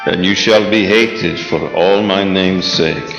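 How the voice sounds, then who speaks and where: A man acting a commanding vampire master in a live radio play, speaking slowly with solemn menace over music in a dull recording. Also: sits low, vocal fry, echoey room, long reverb tail, heavily acted. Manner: slow, solemn, preacher-like menace.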